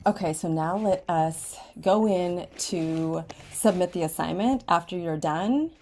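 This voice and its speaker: A woman's voice: on the low side, a little slow, darkish tone. American woman teacher giving step-by-step instructions. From Washington State, United States. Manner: simple instructional phrasing with checking okays. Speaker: ESOL writing teacher. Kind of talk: step-by-step screen tutorial for students. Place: indoors at a computer, screen recording with webcam.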